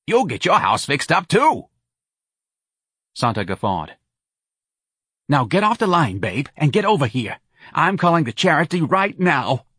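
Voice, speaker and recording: A man's voice: fairly high pitch, big pitch swings, a little slow, long pauses, fairly bright tone, husky, no echo, clean studio sound, pitched above the performer's own voice, full character voice. A man voicing a pushy, scheming middle-aged woman from New who talks in a brassy, bossy way.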